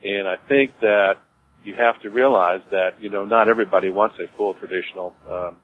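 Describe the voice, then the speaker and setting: A man answering interview questions informally as a business executive, in a halting way, repeating words as he explains. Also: lowish voice, steady tone. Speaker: cemetery executive being interviewed. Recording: dull lo-fi audio with clear noise.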